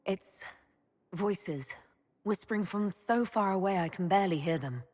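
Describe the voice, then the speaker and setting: A woman's voice: mid-range voice, lively intonation, unhurried, close to an everyday voice. A woman playing an earnest, determined heroine and leader in a fantasy game, with dramatic delivery. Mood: uneasy. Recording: long reverb, loud background music.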